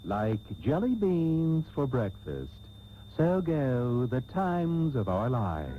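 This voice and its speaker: A man's voice: medium pitch, very animated, takes it slowly, slight background noise. A man playing a pompous, mock-grand host of a parody radio soap, with florid theatrical delivery. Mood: mock-solemn. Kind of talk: parody soap opera announcing. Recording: tinny old radio tape. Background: music.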